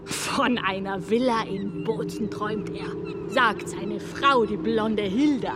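Drunken, defiant rebel: high voice, slow coarse declamation, noisy ship-deck setting, radio play. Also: male voice. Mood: bitter, vengeful. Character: drunken, defiant male actor raging against tyranny. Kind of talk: German radio drama acting. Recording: noisy open-air ship deck ambience.

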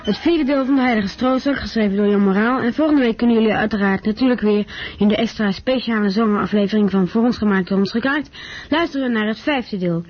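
A woman's voice: mid-range voice, quick pace, a little hiss or hum. A woman presenting on radio, making an informal programme announcement. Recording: muffled radio broadcast.

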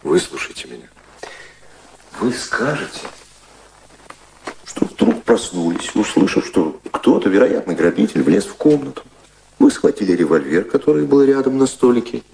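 A worldly gentleman in a radio drama, exasperated yet devoted. His low voice swings widely in pitch, ironic, urgent and practical. There is faint noise behind him. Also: big pitch swings, normal speed, creaky, pauses often. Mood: urgent, scheming.

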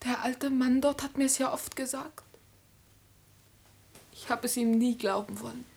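A woman's voice: a little high, fairly even intonation, a little slow, vocal fry, a little mellow, breathy edge, heavily acted. An actress playing a tender, anxious young commoner girl in love in a classical tragedy radio play. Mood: heartbroken.

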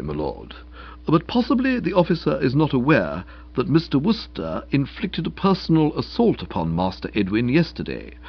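A man voicing a deferential British valet in a formal, measured, polite manner. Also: mid-range voice, dull audio. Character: deferential, unflappable male English valet.

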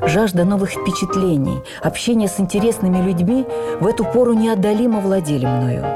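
A woman reads a first-person memoir as dramatic radio narration, with theatrical, expressive phrasing. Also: medium pitch, fairly quick. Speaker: actress reading a memoir in a radio production. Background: music under the voice.

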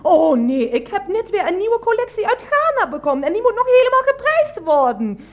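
A woman's voice: very high-pitched, sing-song, brisk. An actress in a Dutch radio play as an earnest, fretting woman, protesting emphatically. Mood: indignant, fretting. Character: earnest, fretting woman devoted to her charity shop. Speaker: radio drama actor. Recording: dull, band-limited radio recording.

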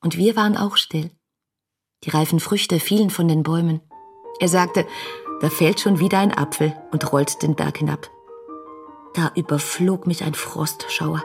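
Casting: lovestruck young woman for radio play, breathy husky voice, rapturous letter-reading that moves between hushed intimacy and exclamation. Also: low voice, fairly even intonation, unhurried, soft background music, heavily acted. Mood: dreamy, intimate. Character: ardent young woman writing adoring love letters.

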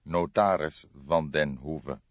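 A man reading an audiobook, voicing a calm, formal police detective with deliberate, composed delivery. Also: a bass voice, no echo, highs cut off. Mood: probing. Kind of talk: audiobook character voice.

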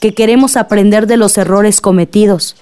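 Actriz como mujer de pueblo paciente, timbre suave. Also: female voice, mid-range voice, steady tone, normal speed, warm tone, clear, resonant tone, lightly acted. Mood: earnest, persuasive. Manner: earnest, coaxing. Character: patient, hopeful village woman, respectfully persuasive. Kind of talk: radio drama acting.

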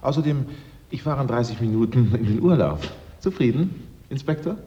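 An actor playing a cool, self-assured insurance detective with a dry, lightly ironic manner in a German radio drama. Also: male voice, medium pitch, expressive, moderate pace, close to an everyday voice. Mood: smooth, ironic. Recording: dull lo-fi recording with noise.

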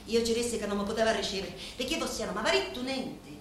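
An actress playing a deferential, devoted Sicilian woman who serves a local boss, speaking a reverent, deliberate stage monologue with a Sicilian accent. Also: fairly high pitch, lightly acted. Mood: solemn, devoted. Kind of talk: theatrical dramatic monologue in dialect. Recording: very echoey room, faint background noise.

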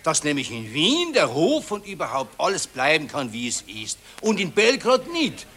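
Brief: earnest patriot, mid pitch, animated. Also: male voice, moderate pace, heavily acted.

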